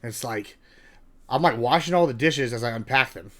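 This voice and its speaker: A young woman hosting a casual podcast chat, loud and profane, calling people "bro". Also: rumbling low, expressive, a little fast. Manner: loud, swearing, says "bro" a lot. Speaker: podcast host.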